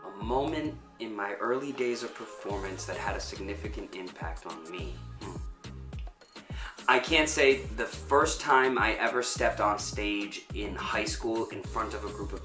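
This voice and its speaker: Male interviewee, reflective performer, fairly deep voice, noticeably roomy recording. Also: fairly bright tone. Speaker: performer and fight choreographer. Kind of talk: reflective interview answers to camera. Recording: roomy echo with music underneath. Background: background music.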